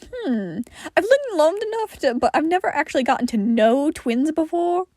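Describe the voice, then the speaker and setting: A woman's voice: high voice, big pitch swings, moderate pace, darkish tone, no echo, studio quality, voice pushed up. A woman voicing a cheeky, obnoxious man who talks in a teasing, flippant way in a story reading. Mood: musing, teasing.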